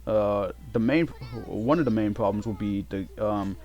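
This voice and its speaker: A man giving casual, unscripted game commentary in a halting way, often repeating and correcting himself as he goes. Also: lowish voice, a little mellow, dull audio. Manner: halting, repetitive, self-correcting. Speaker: let's play host. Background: game music.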